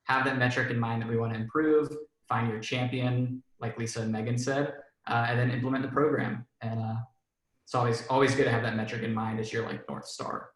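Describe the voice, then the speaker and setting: Man with flat, even delivery. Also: mid-range voice, fairly quick, reverberant, poor audio. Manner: relaxed, filler-heavy, self-deprecating. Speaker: panelist, enablement professional. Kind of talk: casual panel discussion.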